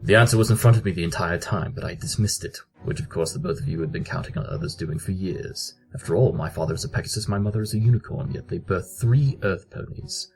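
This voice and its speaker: Theatrical, low-pitched detective pony, acted by a man. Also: darkish tone, soft background music, low-quality recording. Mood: assured, explaining. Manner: theatrical, formal, deliberate exposition. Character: brilliant, excitable male detective pony, giddy over puzzles. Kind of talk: scripted audio drama acting.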